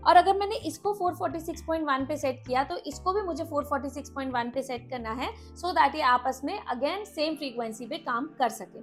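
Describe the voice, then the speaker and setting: A woman's voice: high-pitched, fairly quick, few pauses, faint music behind. A woman hosting a casual product demo, explaining things and mixing in English words. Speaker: product review host.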